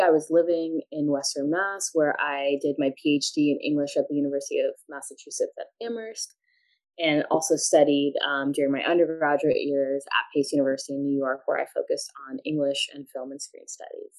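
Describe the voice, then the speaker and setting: Low-voiced woman academic in her thirties, from Pakistan; measured, articulate interview guest. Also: poor audio. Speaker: guest, English professor.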